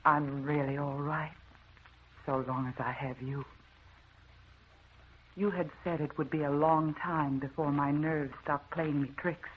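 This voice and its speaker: An actress playing an anxious, haunted wife in a melodramatic old-time radio drama. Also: female voice, low-pitched, steady tone, unhurried, long pauses. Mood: meek, apologetic. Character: anxious, haunted wife recounting supernatural terrors. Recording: muffled, noisy old radio recording.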